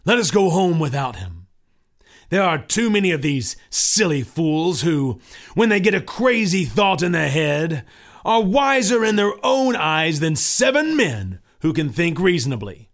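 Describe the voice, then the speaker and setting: A man's voice: fairly high pitch, very animated, unhurried, voice pushed up. A man voicing a stubborn, scornful male neighbor who blusters and mocks.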